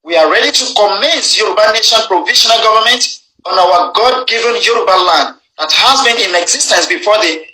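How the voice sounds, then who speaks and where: Nigerian guy reading out a formal declaration, slow and careful, trips over words sometimes, echoey room. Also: high voice, pushed and bright. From southwestern Nigeria. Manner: measured, deliberate reading with occasional stumbles and self-corrections. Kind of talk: formal read-aloud statement.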